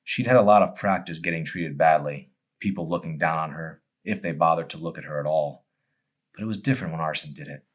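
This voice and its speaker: A man, an author presenting and reading his own novel, with dramatic, deliberate phrasing. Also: rumbling low. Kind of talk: audiobook-style narration with casual introduction.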